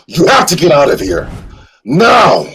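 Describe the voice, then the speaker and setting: A man playing a protective Jedi brother in an audio drama, pleading forcefully and urgently in a strained voice. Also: fairly high pitch, huge pitch swings, measured pace, exaggerated voice. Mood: commanding, urgent.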